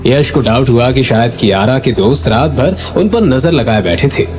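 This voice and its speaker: A man's voice: medium pitch, animated, moderate pace, darkish tone, faint music behind. A man narrating an audio drama in a dramatic, scripted storytelling style.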